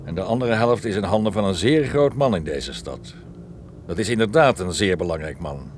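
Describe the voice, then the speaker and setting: A huge former heavyweight running a club in a radio play. His voice sits fairly low and he threatens with wordy, mock politeness. The recording carries some background noise. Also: expressive, moderate pace. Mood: menacing courtesy. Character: huge menacing ex-heavyweight boxer, nightclub co-owner, politely threatening.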